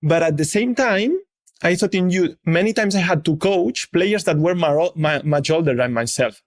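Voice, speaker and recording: A man hosting an interview, asking questions carefully and pausing to find his words, with some restarts. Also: on the high side, lively intonation. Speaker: interviewer, podcast host.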